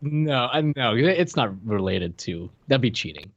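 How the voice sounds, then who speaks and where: A man's voice: mid-range voice, big pitch swings, unhurried, no room sound. A man in his thirties co-hosting a podcast from home in casual conversation. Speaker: podcast co-host. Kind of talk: casual chat. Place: indoor room at home, video call. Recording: slightly dull, dry close sound.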